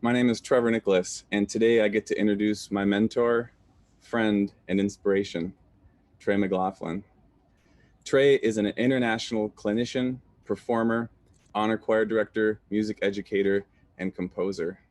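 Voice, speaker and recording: A man's voice: fairly low pitch, steady tone, normal speed, a little mellow, no echo. A man introducing the keynote speaker on a video call, reading a prepared bio with an upbeat manner.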